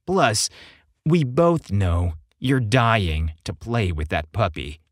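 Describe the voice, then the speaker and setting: A man's voice: on the low side, animated, slow pace, no room sound, studio quality. A man voicing a mischievous young male buddy in an audiobook, teasing and goading playfully. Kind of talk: audiobook character dialogue.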